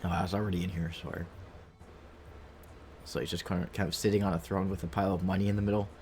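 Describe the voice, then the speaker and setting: Deep-voiced man streaming a video game. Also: flat delivery, fast, darkish tone, slight background noise. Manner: casual, profane, joking. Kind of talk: casual gaming stream commentary. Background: game music.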